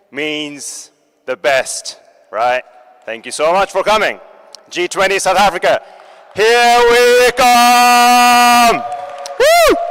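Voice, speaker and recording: Japanese-born man, upbeat startup pitch, smooth clear voice, echoing hall. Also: fairly bright tone. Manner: upbeat, joking with the audience. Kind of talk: competition pitch presentation. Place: large hall. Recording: long hall reverb.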